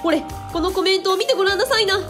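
A woman's voice: very high-pitched, rapid-fire, fairly bright tone, faint music behind. A woman playing a playful female show host who coaxes and eggs others on in a comic radio drama. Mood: excited, encouraging. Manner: bubbly, coaxing, exclamatory.

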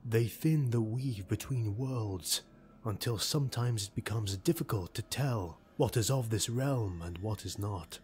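Man voicing a bleak, guarded elf retainer, slow-spoken. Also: lowish voice, a little mellow, lightly acted.

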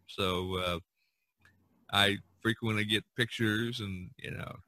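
An older man hosting a podcast, chatting casually in a halting, folksy way. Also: lowish voice, steady tone, sharp tone, no echo. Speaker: podcast host. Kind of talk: casual chat and podcast sign-off.

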